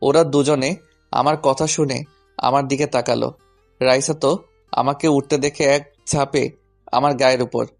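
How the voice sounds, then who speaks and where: Casting: male narrator and lead for a first-person romance audio story, mid-pitched voice, music bed. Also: steady tone, a little slow, gravelly, long pauses.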